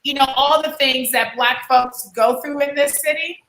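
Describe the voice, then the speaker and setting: High bright passionate New woman. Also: steady tone, moderate pace, soft background music. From New Orleans, USA. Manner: passionate, heartfelt. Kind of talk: casual discussion. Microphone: video call. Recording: echoey room.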